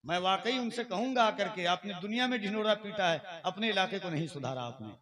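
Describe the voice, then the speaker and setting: A man's voice: sits high, lively intonation, fairly quick, a crowd in the background. A man addressing a religious gathering from the stage in a declamatory, admonishing style. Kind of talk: stage oratory, announcing and admonishing.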